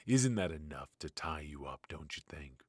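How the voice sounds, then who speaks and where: A man playing a weary, ruthless yet fatherly mafia boss in an intimate ASMR roleplay, with a low, husky, breathy voice and a wry, sighing delivery. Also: animated, darkish tone, no echo, clean studio sound. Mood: incredulous, irritated. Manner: slow, pausing, sighing, wry.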